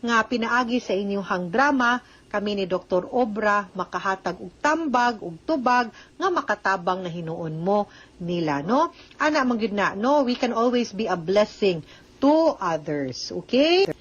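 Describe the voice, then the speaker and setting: A woman's voice: medium pitch, animated, a little slow, husky, muffled recording. A woman with a Cebuano Filipino accent hosts an advice program, explaining things conversationally to listeners, mixing in English terms and often checking for agreement with short tag questions. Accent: Filipino, Cebuano-speaking. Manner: mixes in English phrases and legal terms. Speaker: host giving legal advice on a radio drama letter program.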